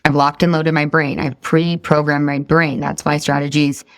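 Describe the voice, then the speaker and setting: A middle-aged woman from the Boston area leads a live weight loss coaching session. She speaks in a fairly low voice, upbeat and direct, and keeps asking her group questions. The recording is dry and close, with no room sound. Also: level tone, moderate pace, no echo.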